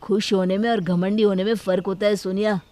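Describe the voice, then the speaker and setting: A woman's voice: medium pitch, level tone, brisk, background noise, lightly acted. A woman playing an indignant, reproachful young husband in a family moral story. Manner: reproachful, protesting. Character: indignant young husband defending his wife.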